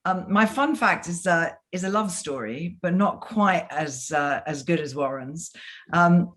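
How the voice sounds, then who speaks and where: A woman from the UK with a fairly low voice speaks on a video call panel. She talks in a relaxed, chatty way, leaning on small fillers. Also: a little slow. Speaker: CEO and panelist. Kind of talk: online panel discussion.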